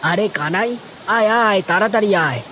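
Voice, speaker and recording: A woman's voice: mid-range voice, a little fast, a bit bright, a little hiss or hum, natural voice. A woman voicing a lazy, henpecked village husband who grumbles and whines in a cartoon. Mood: chatty. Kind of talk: animated moral-story cartoon dialogue.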